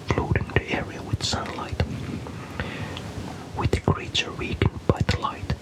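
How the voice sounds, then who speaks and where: Softly whispering man, ASMR storyteller. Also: rough recording. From Finland. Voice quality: soft, breathy unvoiced whisper. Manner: calm, unhurried, careful storytelling. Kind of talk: ASMR whispered narration of a video game story.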